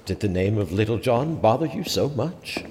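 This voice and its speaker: An actor playing a smug, self-assured sheriff in a medieval audio drama, talking in an urbane, teasing manner. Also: male voice, on the low side, lively intonation, normal speed, a little mellow, a little hiss or hum, close to an everyday voice. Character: smug, confident male sheriff, a prince's trusted friend. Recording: reverberant hall with faint music behind.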